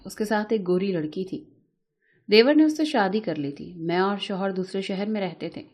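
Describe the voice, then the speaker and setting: A woman's voice: medium pitch, darkish tone. A woman reading a scripted, emotional first-person story as a narrator, in a dramatic storytelling manner.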